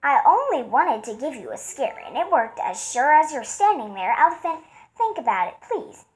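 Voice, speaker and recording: Cheeky little storybook mouse, played by a woman: squeaky, very high, teasing. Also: very animated, moderate pace, rough recording. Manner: squeaky and mischievous.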